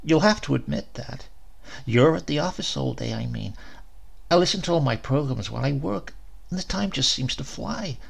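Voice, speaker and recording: A man's voice: mid-range voice, expressive, normal speed, creaky, breathy edge, heavily acted. A man voicing a lonely, worn-down housewife in a story, wistful and dazed. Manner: dull, forlorn, strange.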